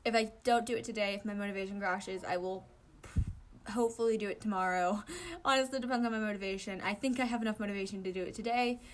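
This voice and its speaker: A woman reading fan fiction aloud as an amateur narrator, giggly and animated, with casual asides. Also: mid-range voice, fast talker, slight background noise.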